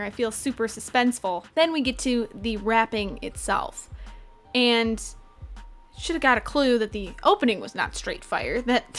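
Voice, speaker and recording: A woman's voice: on the high side, fairly bright tone. A young woman in her twenties giving a casual, sarcastic anime review from her bedroom, swearing and laughing often into a desk microphone. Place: bedroom at home. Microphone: desk microphone in front, webcam.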